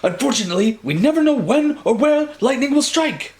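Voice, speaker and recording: A man voicing an excitable, eccentric male inventor in a storybook reading, frantic and full of warnings. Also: extremely high pitch, fairly quick, voice pushed up. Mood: dramatic.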